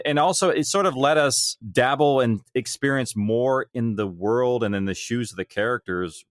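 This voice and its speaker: A man's voice: fairly low pitch, no echo. Man explaining, dry close mic. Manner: explanatory, acts out imagined quotes. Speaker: podcast guest, audio drama creator. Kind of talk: conversational interview.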